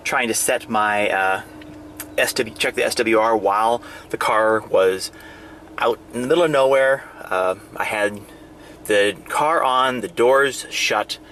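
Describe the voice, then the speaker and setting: A man giving a casual, halting show-and-tell of a hobby setup, pausing and restarting as he explains. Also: fairly low pitch, a bit bright, a little hiss or hum. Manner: hesitant, halting, fragmented delivery. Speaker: amateur radio hobbyist demonstrating his setup. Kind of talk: casual demonstration vlog. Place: outdoors and in a parked vehicle in a residential neighborhood.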